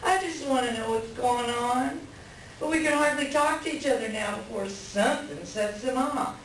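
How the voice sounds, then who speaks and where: A woman's voice: on the high side, normal speed, close to an everyday voice. A woman playing an upset, bitter wife venting about her cheating husband in a staged play reading. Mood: agitated. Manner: agitated, colloquial venting. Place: library room reading before an audience. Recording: very echoey room, distant mic, faint noise.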